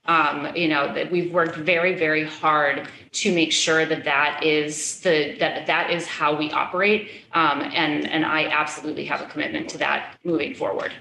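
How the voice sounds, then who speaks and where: A woman's voice: deep voice, little pitch movement, moderate pace, creaky, few pauses, bright, forceful tone, echoey room, a little hiss or hum, low-quality recording. A middle-aged woman chairing a school committee forum, answering questions earnestly with many fillers and restarts. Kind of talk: public meeting, answering questions.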